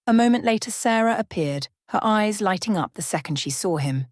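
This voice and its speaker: A woman's voice: mid-range voice, animated, brisk, no room sound, clean studio sound. A woman reading a scripted bedtime story as a storyteller in an ASMR style.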